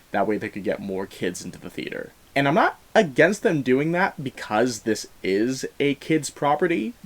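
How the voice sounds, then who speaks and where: A man giving an opinionated movie review as host, talking in a casual, explanatory way with emphatic stresses. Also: mid-range voice.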